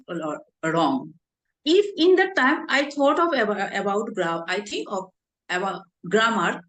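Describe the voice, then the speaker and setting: A woman, asking questions in a casual practice chat, halting and searching for words. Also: a little high, fairly bright tone. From India. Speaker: interviewer. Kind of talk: casual English practice conversation. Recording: echoey room.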